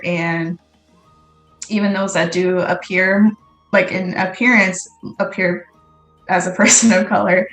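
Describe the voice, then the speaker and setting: A woman speaking as a podcast guest, thoughtful and measured, pausing often with ums. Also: medium pitch, fairly bright tone, reverberant, rough recording. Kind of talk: casual interview.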